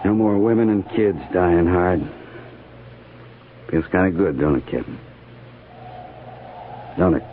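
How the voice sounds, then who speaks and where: A rustic cavalry sergeant from a 1950s radio western. He is deep-voiced, loyal and plain of speech, heard on a thin, noisy old broadcast. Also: animated, slow, pauses often, phone-quality sound. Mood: somber satisfaction. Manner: folksy, ungrammatical rustic talk.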